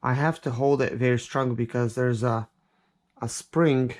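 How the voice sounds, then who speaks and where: A man's voice: medium pitch, steady tone, a little slow, a little mellow. A man giving casual, hands-on unboxing commentary as a reviewer, thinking aloud in an informal, hesitant way. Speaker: product reviewer and vlog host. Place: office. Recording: clear background noise with clicks.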